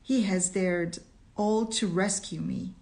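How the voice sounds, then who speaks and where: A woman's voice: on the high side, sing-song, very slow, pitched above the performer's own voice, heavily acted. A woman voicing a dazed young woman in a storybook, speaking in a subdued, vague way. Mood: dazed, quiet. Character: dazed, quiet young woman rescued from disaster.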